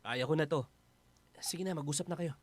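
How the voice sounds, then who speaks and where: A man's voice: on the high side, normal speed, close to an everyday voice. A man acting as a modest, helpful stranger in a Filipino radio drama, humble and deferential. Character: modest, helpful male stranger, shy of imposing.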